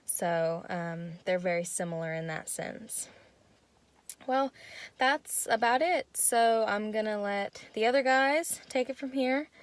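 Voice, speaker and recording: A young woman with a squeaky voice giving a class presentation, working through numbered points with frequent ums. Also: slow, dry recording. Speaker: student presenter.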